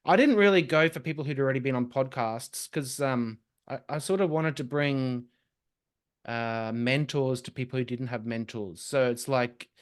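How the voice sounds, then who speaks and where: A young man, a podcast guest chatting casually and telling lively stories. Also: mid-range voice. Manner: lively, quick storytelling with laughs and restarts. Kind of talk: casual conversation.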